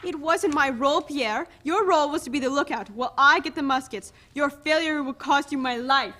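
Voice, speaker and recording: A woman's voice: high voice, a little fast, bright, forceful tone. A woman playing a bitter, devout condemned prisoner, a family man, in a dramatic play reading, earnest and reproachful. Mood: bitter, resigned.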